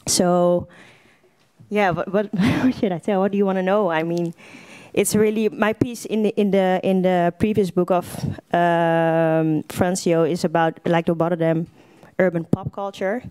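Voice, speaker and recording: Mellow woman speaking off the cuff. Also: on the low side, fairly even intonation, unhurried, smooth, no echo. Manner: loose, with many "like" fillers and restarts. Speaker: panel speaker. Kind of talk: unprepared casual talk. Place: lecture hall, at a podium. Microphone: podium microphone.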